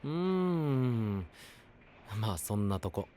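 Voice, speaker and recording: A man's voice: medium pitch, big pitch swings, takes it slowly, mellow, a little hiss or hum. A man playing an easygoing, friendly young man with a light, teasing, casual manner in an anime audio drama. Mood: relaxed.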